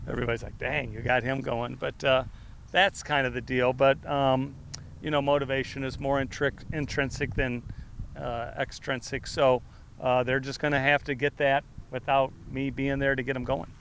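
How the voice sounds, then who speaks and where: Rambling middle-aged football coach, faint hiss. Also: male voice, mid-range voice, level tone, normal speed, gravelly. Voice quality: loud voice. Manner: loose, rambling, frequent fillers and restarts. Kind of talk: press conference answers.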